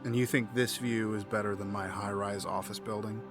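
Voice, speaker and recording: An actor playing a wealthy, cautious businessman in an audio drama, measured and gently formal, doubtful but fond. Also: lowish voice, fairly even intonation, over music, lightly acted. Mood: skeptical.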